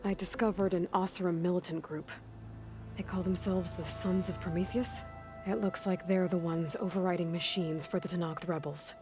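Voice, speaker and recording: An actress playing a determined, level-headed young warrior heroine in a video game, speaking earnestly and directly. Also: female voice, fairly low pitch, steady tone, moderate pace, close to an everyday voice. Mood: resolute. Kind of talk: video game dialogue acting. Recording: music behind the voice.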